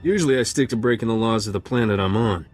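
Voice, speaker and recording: An actor voicing a roguish smuggler captain in a video game, laconic and wry. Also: male voice, on the low side, quick pace, faint music behind, close to an everyday voice.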